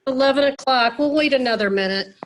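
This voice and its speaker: Nasal, slightly high-voiced woman moderating a video call meeting, casual and chatty, full of fillers. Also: fairly quick, slight background noise, poor audio. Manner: chatty, many fillers and restarts. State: nasal from a cold.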